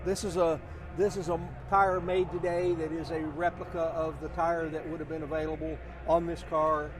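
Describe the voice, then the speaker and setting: A middle-aged or older man giving casual expert commentary as a guest, halting and deliberate, repeating words for emphasis. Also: a little high, flat delivery. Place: outdoors at a car show or auction.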